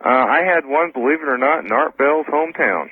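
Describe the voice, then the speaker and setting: A man's voice: a little high, lively intonation, brisk, slightly rough, dry recording. A man answering casually as a guest in a radio interview. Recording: tinny narrow phone line.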